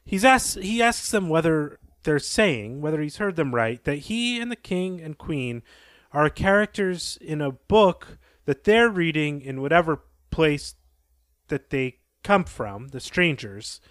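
A man's voice: on the high side, big pitch swings, unhurried, a bit bright, no echo, clean studio sound. A man co-hosting a podcast, retelling a story and commenting on it as he goes. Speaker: podcast co-host.

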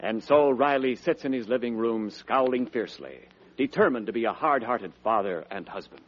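A man's voice: medium pitch, lively intonation, normal speed. A male American radio announcer reading scripted ads and narration in 1940s broadcast style. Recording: dull vintage radio broadcast.

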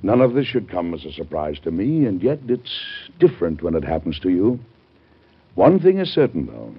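A man's voice: low-pitched, huge pitch swings, moderate pace, husky, full character voice. An American man acting an earnest, formal, beleaguered but resolute president in an old-time radio drama. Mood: defiant. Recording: muffled old radio show recording.

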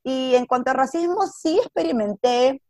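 A woman's voice: high voice, normal speed, mellow, no echo, clean studio sound. A woman from Peru with a Peruvian accent, chatting casually and telling personal stories, slipping in English words and acting out what people said. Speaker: interview guest.

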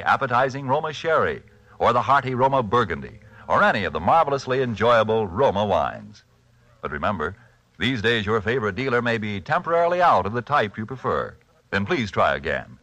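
A man's voice: mid-range voice, pushed and bright, no room sound. A man announcing a 1940s radio commercial with polished, emphatic salesmanship. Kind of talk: 1940s radio advertising read.

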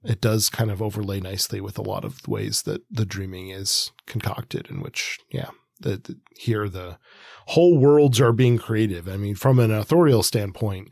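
A man co-hosting a podcast, giving conversational analysis in a hesitant, measured way, thinking aloud as he goes. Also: fairly low pitch, expressive, gravelly, darkish tone, no room sound, studio quality. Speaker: podcast co-host, comics expert.

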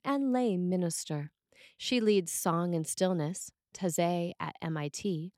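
A woman priest reading a scripted sermon in measured, deliberate phrases. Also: lowish voice, darkish tone, no room sound, clean studio sound.

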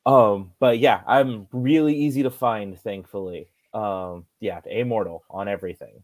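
A man's voice: on the low side, normal speed. A man, a musician guest, giving short, easygoing replies in a casual chat.

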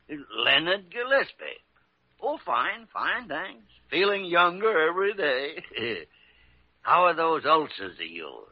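A man's voice: sits high, full character voice. An older American man acting in a classic radio drama as a crusty, sly senior doctor, blustery and teasing, often repeating his words. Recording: muffled old radio recording.

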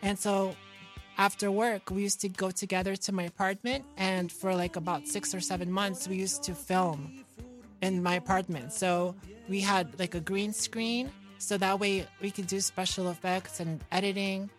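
A woman's voice: mid-range voice, steady tone, normal speed, faint music behind. A woman from New York chatting casually as an interview guest, in a guileless, self-deprecating, simply worded way. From New York, United States. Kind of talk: casual chat.

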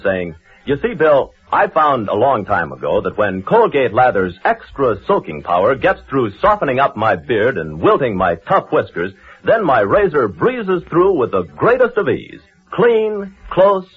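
A male American announcer delivers a 1940s radio commercial with punchy salesman emphasis. His voice is very husky and leaps around dramatically in pitch. The vintage recording is tinny and narrow. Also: wildly swooping pitch, measured pace.

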